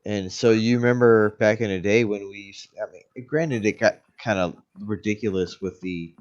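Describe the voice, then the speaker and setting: A man in his late thirties with a low voice, chatting as a co-host. He stops and starts, piling up "um"s and self-corrections. His audio sounds dull and muffled, like a lo-fi call. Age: 30s. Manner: halting, full of "um" and restarts. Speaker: podcast co-host. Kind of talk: casual chat.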